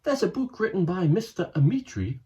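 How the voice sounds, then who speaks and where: A man's voice: high-pitched, very animated, moderate pace, warm tone, lo-fi sound, voice pushed up. A prim, precise female teacher speaks in a lifted, careful voice, as a man puts her on while reading a storybook aloud. She sounds helpful and a little proper.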